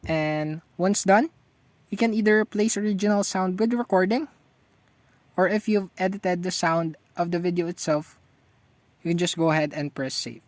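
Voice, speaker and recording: A tutorial host gives step-by-step narration for a screen walkthrough. The voice sits at a medium pitch, and the sound is dry with very little room in it. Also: normal speed, no room sound.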